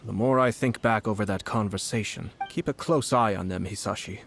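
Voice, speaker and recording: Suave shrewd nobleman, smooth and courteous, faint game music. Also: fairly low pitch. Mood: composed. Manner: polished, measured, politely diplomatic.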